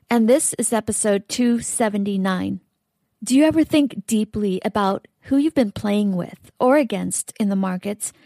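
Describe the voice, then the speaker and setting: A woman's voice: a little high, warm tone, no room sound. A woman co-hosting a podcast, reading a scripted introduction addressed directly to listeners. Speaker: podcast co-host.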